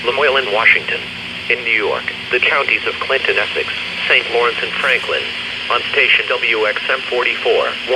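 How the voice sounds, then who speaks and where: A man reading a scripted public service announcement on weather radio in a formal, measured way. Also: mid-range voice. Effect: radio broadcast. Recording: very noisy off-air weather radio capture. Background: loud radio noise.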